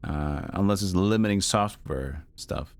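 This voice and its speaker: A man's voice: low voice, measured pace, a little hiss or hum. A man giving casual live commentary as a game streamer, musing in a rambling way.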